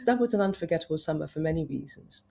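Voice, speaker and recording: Woman travel writer, fifties; low, warm voice; lively anecdotes. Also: quick pace, creaky. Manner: enthusiastic, anecdotal storytelling. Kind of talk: conversational interview.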